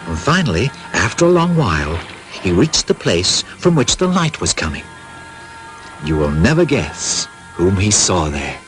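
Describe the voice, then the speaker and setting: A man's voice: deep voice, sing-song, a little slow, music in the background, low-quality recording. A man narrating a children's cartoon as a scripted storybook tale. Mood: storytelling.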